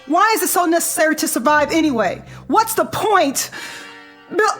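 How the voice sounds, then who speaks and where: High-voiced, frightened, despairing wife. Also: female voice, expressive, moderate pace, fairly bright tone, faint music behind. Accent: American. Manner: fretful, faltering. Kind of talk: dramatic radio acting.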